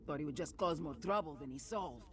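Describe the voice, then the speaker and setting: Man playing a higher-pitched stern guard captain. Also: expressive, lightly acted. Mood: satisfied. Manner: measured, formal. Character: stern, dutiful male guard captain of fantasy town.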